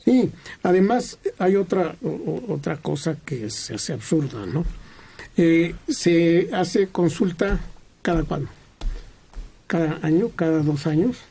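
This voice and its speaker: A man's voice: on the high side, lively intonation, slow pace, vocal fry, warm tone, husky. A Mexican man commenting on a radio panel, hesitant with many fillers but opinionated.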